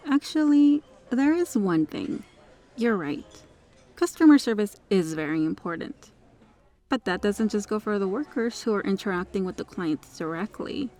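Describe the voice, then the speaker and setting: A woman's voice: mid-range voice, animated, moderate pace, warm tone, close to an everyday voice. A woman playing a kind, protective restaurant regular in an ASMR roleplay, speaking in a polite, articulate, reassuring way. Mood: firm, earnest. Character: kind, well-spoken female restaurant regular, firmly protective. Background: music.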